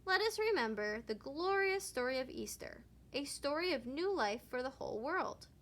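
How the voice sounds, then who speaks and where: A kindly preacher bear from a children's storybook, voiced by a woman reader. The voice is high, and he explains things patiently, with measured earnestness. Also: very animated, a bit bright, heavily acted. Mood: solemn, joyful.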